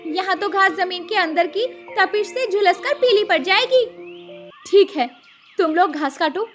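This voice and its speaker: A woman's voice: extremely high pitch, measured pace, faint music behind. A woman voicing a thrifty, practical city-bred daughter-in-law in a story cartoon, earnest and explanatory. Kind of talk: animated moral-story cartoon dialogue.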